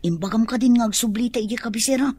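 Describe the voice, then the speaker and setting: A woman's voice: a little high, quick pace, mellow, slightly rough. A young woman with an Ilocano accent plays an impatient, complaining daughter in a radio drama.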